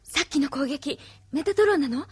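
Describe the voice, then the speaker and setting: A high-voiced, outspoken young fighter. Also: female voice, animated, normal speed. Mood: worried. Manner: impassioned, reproachful scolding. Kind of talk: 1990s anime audio drama acting.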